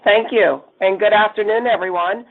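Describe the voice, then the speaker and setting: Hoarse raspy woman on a phone line. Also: mid-range voice, steady tone, normal speed. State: hoarse from a cold. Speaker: chief nursing officer presenting. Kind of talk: webinar presentation.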